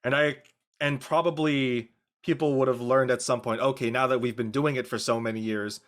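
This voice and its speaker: A man speaking as an actor on a casual panel, earnest and deliberate in how he explains his thoughts. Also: medium pitch, fairly even intonation, a bit bright.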